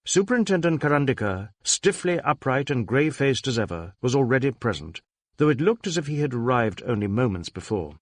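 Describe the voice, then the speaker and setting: A man narrating a detective novel as an audiobook, with measured, dramatic storytelling. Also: medium pitch, big pitch swings, dry recording.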